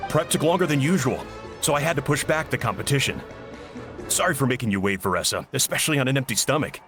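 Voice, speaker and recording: A man's voice: mid-range voice, lively intonation, quick pace, over music, close to an everyday voice. A man voicing a friendly, avuncular cook in a video game, speaking in a familiar, easygoing way. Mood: apologetic. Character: friendly avuncular cook running an eating contest.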